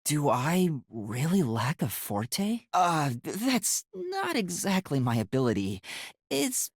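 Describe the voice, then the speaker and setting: A man voicing a timid, earnest teenage boy detective in a video game, with a soft youthful voice and a hesitant, polite, stammering way of talking. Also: on the high side, huge pitch swings, slow, breathy edge, dry recording, studio quality, exaggerated voice. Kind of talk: English video game voice acting.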